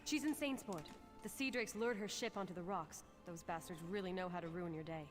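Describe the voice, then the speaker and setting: A woman's voice: fairly low pitch, brisk, faint music behind, close to an everyday voice. A woman voicing an eager, businesslike young heist crew member in a video game. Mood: brisk. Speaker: game character.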